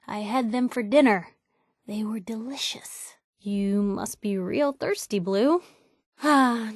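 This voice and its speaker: An actress playing a sardonic, plucky young reporter with an American accent, making casual companion remarks in a video game. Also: female voice, mid-range voice, dry recording, clean studio sound, natural voice. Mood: playful, sarcastic. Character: wry, plucky young female reporter in a post-nuclear wasteland.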